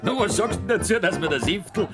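A man's voice: high voice, lively intonation, fairly quick. An actor playing a jovial, stubborn old farmer grandfather with a Bavarian accent in a dialect radio play. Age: older. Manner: boisterous, laughs often. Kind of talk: 1950s-style dialect radio play acting. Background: folk dance music.

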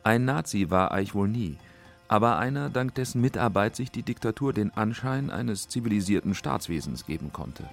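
Guy narrating a radio documentary, low gravelly voice, factual, faint music behind. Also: expressive, normal speed. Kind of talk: scripted radio feature narration.

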